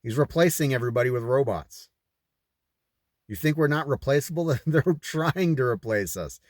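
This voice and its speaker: A man's voice: medium pitch, lively intonation, normal speed, dry recording. Man reading aloud, dry close sound. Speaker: host reading a book aloud with his own commentary. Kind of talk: reading aloud with off-the-cuff commentary. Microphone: recording himself on camera.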